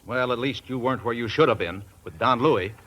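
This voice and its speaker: An actor playing an excitable, fretful male doctor in a vintage radio drama, speaking in an agitated, urgent way. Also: mid-range voice, a little fast, dull audio, natural voice. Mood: agitated, accusing. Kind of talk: 1940s-50s American radio acting. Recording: noisy vintage radio recording.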